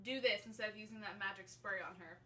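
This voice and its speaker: A woman's voice: mid-range voice, steady tone, fast, pushed and bright, a little hiss or hum. A woman co-hosting a casual podcast, bantering in a blunt, teasing way with crude humour. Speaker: podcast co-host.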